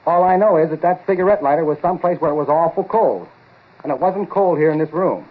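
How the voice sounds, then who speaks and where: An actor playing an earnest, excitable lab researcher, urgent and insistent, in a 1940s radio drama style. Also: male voice, fairly high pitch, fast, natural voice. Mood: earnest excitement. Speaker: supporting actor. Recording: tinny, muffled-detail 1940s radio recording.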